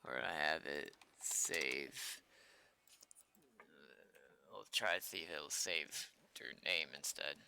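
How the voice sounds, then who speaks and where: A man's voice: extremely low pitch, slow pace, vocal fry, pauses often, pushed and bright, a little hiss or hum. An American man chatting casually on a livestream, thinking aloud and muttering to himself as he works, with informal speech and mild swearing. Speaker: game developer livestreaming.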